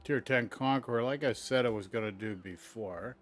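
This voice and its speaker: A man's voice: mid-range voice, normal speed, faint music behind. A man chatting casually on a live game stream, with grumbling asides.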